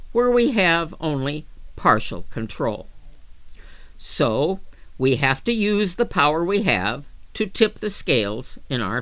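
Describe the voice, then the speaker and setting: A woman reading a magazine article aloud with careful, deliberate phrasing and emphatic stresses. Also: low voice, fairly bright tone, no room sound. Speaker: volunteer-style reader of magazine articles.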